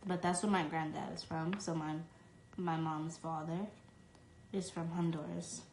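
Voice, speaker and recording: A woman's voice: low-pitched, little pitch movement, unhurried, a little mellow, reverberant, a little hiss or hum. A young woman from New York chatting casually on a live stream from her phone, reading fan questions aloud and answering with lots of "um" and "like.". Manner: chatty, fillers like um and like. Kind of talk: casual chat.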